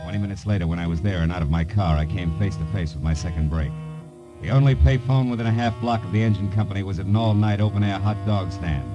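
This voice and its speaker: An actor playing a wry, persistent private eye in 1950s radio noir, clipped and slangy, on an old recording. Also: male voice, sits low, fast talker, dull audio, over loud music, natural voice. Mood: wry narrating. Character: hard-boiled male private eye, wry, persistent, fast-talking. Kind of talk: 1950s radio noir acting, first-person narration and dialogue.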